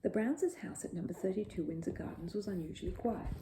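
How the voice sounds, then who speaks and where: A woman narrating a children's bedtime story in an expressive storytelling style. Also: mid-range voice, brisk, a little hiss or hum.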